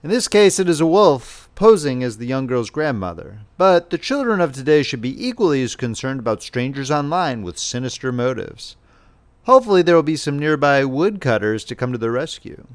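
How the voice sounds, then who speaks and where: A man narrating a children's fairy tale as a scripted, expressive audiobook reading. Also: medium pitch, fairly quick.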